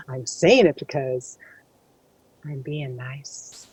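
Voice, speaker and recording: Candid, teasing wife, low-pitched and husky, conversational radio drama. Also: female voice, sing-song, extremely slow, exaggerated voice. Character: Jerry Pyle, writer's teasing, candid, supportive wife. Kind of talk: conversational audio drama acting.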